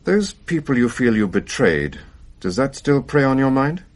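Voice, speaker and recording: GP, mid-pitched, very varied intonation, brisk, pragmatic, consoling, radio drama. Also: male voice, very animated. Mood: probing. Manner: brisk, matter-of-fact, advising. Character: measured, pragmatic male family doctor, gently consoling.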